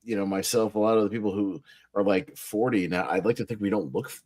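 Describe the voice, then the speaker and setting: A man's voice: on the low side, fairly even intonation, fast talker, a little mellow. A man around forty chatting casually on a movie watchalong, rambling and circling back through his points. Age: 40s. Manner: chatty, rambling, with many fillers and restarts. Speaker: co-host.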